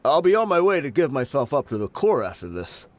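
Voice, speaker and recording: A man's voice: medium pitch, big pitch swings, a little fast, natural voice. A man playing a plain-spoken, conciliatory male mercenary in a video game, explaining himself in a resigned, matter-of-fact way. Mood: resigned, explanatory.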